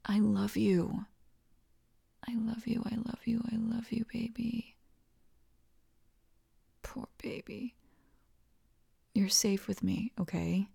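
A tender, devoted young girlfriend, voiced by a woman in an ASMR roleplay, speaking slowly and reassuringly. Her soft voice often drops into whispers and carries a gravelly vocal fry. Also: medium pitch, steady tone, full character voice.

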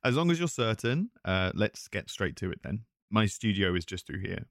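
A man's voice: fairly low pitch, big pitch swings, normal speed, vocal fry, no room sound, clean studio sound. A man playing a chatty, nervous voice actor, talking casually to a visitor in a self-conscious, hesitant, easily flustered way. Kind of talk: casual conversational audio roleplay addressed to listener.

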